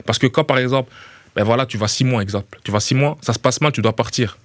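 Un homme d'une trentaine d'années à l'accent belge de Liège, footballeur invité, qui parle de façon décontractée et se répète en cherchant ses mots. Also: male voice, medium pitch, no echo. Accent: Belgian French, Liège. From Belgium. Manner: quick, repeats words as he searches, familiar "tu vois". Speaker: footballer guest. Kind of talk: casual interview chat. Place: small radio studio. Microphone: studio mic with headphones.

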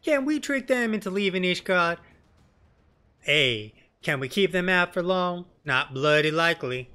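A man voicing a blunt, sardonic woman rebel leader in a fantasy game, with colloquial, rough-edged wording. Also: high-pitched, lively intonation, unhurried, bright, forceful tone, faint music behind, voice pushed up, full character voice. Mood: blunt, wry. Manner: blunt, colloquial, mildly cursing. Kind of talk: game dialogue read in character.

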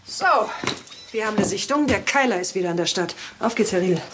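A woman acting a determined police chief in a crime comedy. She is commanding and quick, middle-pitched, over clearly audible music. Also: animated, natural voice. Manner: brisk, commanding.

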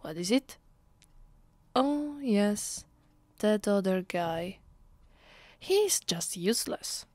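A woman with an Italian accent playing an imperious, mocking ancient vampire mistress in an ASMR roleplay, speaking deliberately and often dropping into a whisper. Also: mid-range voice, animated, a little mellow, dry recording. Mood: condescending. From Italy. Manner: slow, haughty, deliberate with pauses, often breathy whispering. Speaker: ASMR voice actress. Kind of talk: ASMR roleplay, slow intimate delivery. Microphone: close, dry microphone.

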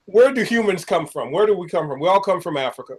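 A man's voice: sits high, animated, fast talker, slightly rough. Rambling male podcast host. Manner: rambling, with stumbles and repeats. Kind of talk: casual interview chat.

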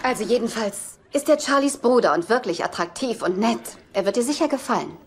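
A woman's voice: a little high, animated, brisk, soft background music. An actress playing a patient, coaxing girlfriend in a German-dubbed sitcom. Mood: sociable. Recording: faintly noisy TV soundtrack rip.

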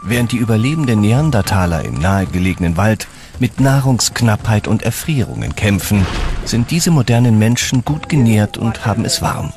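A man reading scripted documentary narration in a measured, serious way. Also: fairly low pitch, sing-song. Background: background music.

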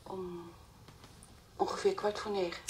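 An actress playing the anxious, distraught mother of a missing girl in a Dutch radio play, halting and repeating her words. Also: fairly low pitch, flat delivery, darkish tone, natural voice. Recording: old radio play recording, faint hiss.

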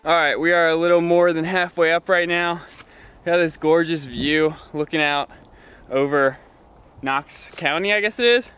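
A young man vlogging casually to a handheld camera as he hikes a wooded mountain trail, relaxed and upbeat. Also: on the high side, normal speed, smooth, soft background music. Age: young adult. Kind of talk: casual vlog narration while hiking. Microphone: handheld camera at arm's length.

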